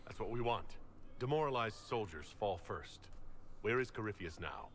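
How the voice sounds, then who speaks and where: A commanding fantasy game hero, low in pitch and formal. He is measured and resolute, with a faint noise under the voice. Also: animated, fairly bright tone. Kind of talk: video game dialogue.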